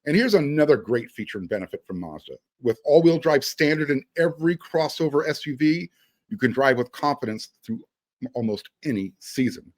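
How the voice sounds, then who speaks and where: A man's voice: mid-range voice, lively intonation, a little fast, a little mellow, dry recording. Man hosting sports talk, swooping intonation. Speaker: sports podcast host. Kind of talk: casual sports talk with read-out sponsor spots.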